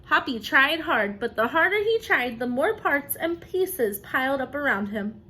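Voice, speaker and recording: A woman teacher reading a picture book aloud expressively, pausing to encourage young listeners with questions and praise. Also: high voice, sing-song, sharp tone.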